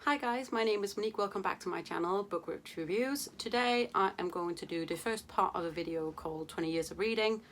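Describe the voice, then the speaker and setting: A woman's voice: medium pitch, fairly quick, few pauses, a bit bright, slight background noise. A young woman from Denmark, chatting to camera about books in a playful, casual way, with many fillers and casual swearing. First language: Danish. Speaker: book vlogger.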